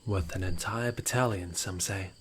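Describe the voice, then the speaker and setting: A man's voice: low-pitched, moderate pace, a bit bright, slight background noise. A man playing a smug, taunting rogue informant in a fantasy audio roleplay, with a mocking, theatrical drawl. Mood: mock-warning. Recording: outdoor night ambience with crickets behind. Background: crickets and night nature ambience.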